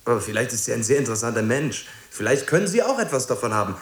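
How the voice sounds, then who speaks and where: A man's voice: mid-range voice, sing-song, fast talker, roomy sound, lightly acted. An actor playing an earnest young man in a radio drama, hesitant yet persistent and pleading. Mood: insistent. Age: young adult. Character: earnest, persistent young man, shy but insistent visitor.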